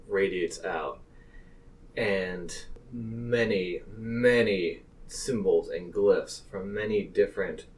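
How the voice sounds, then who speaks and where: A man running a tabletop roleplaying game as game master, improvising narration in a deliberate, halting way. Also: sits low, darkish tone, recorded from a distance.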